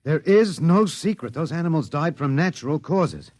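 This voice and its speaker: A man playing a weary, gentle but stubborn middle-aged captive in a 1950s radio drama, hesitant and understated. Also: fairly high pitch, expressive, moderate pace, dry recording, natural voice. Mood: desperate pleading. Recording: dull, dry vintage 1950s radio recording.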